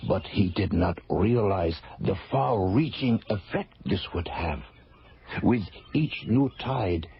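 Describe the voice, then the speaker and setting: An actor playing a solemn alien islander in a radio sci-fi drama, speaking in a measured, formal way. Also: male voice, on the low side. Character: solemn male alien islander explaining his people's plight. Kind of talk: old-time radio sci-fi acting. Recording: dull radio recording, faint noise.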